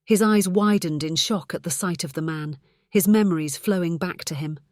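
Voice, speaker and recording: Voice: medium pitch, normal speed, a little mellow, dry recording, studio quality. An audiobook narrator reading a scripted story.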